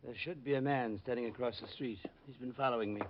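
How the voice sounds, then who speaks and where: A dull-sounding old radio drama recording of a man playing a wealthy, worried client. His voice is mid-pitched. He speaks in a measured, formal and earnest way. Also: steady tone, lightly acted. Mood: uneasy. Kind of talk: 1950s radio drama acting.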